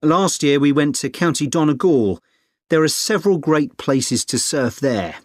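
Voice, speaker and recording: A man's voice: fairly high pitch, animated, measured pace, dry recording, studio quality. A man acting a friendly, experienced middle-aged surfer dad giving informative, encouraging advice in a scripted exam dialogue. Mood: helpful, enthusiastic.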